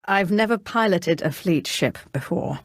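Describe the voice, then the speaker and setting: A woman's voice: fairly low pitch, lively intonation, measured pace, no echo, clean studio sound. A woman voicing an ambitious, imperious female Sith in a video game, speaking formally and with haughty command. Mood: businesslike, commanding. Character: ambitious, imperious female Sith warlord serving a galactic empire. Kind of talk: scripted video game character dialogue.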